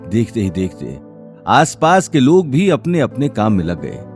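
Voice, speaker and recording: A man's voice: mid-range voice, huge pitch swings, a little slow, a little mellow, faint music behind. A man narrating an audio drama series as a scripted, dramatic story.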